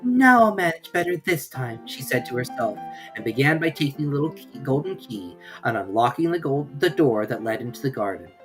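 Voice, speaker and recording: A woman reading a storybook aloud in an animated storytelling style. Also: very deep voice, a little fast, music in the background, poor audio.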